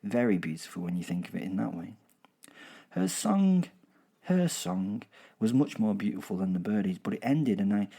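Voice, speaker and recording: A man playing a sentimental werewolf killer, telling his story in a halting, dramatic way with repeated words and pauses, for a horror audio drama. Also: medium pitch, mellow, breathy edge. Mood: wonder, wry. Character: male werewolf killer, sentimental, menacing, wryly wistful. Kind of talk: dramatic first-person storytelling.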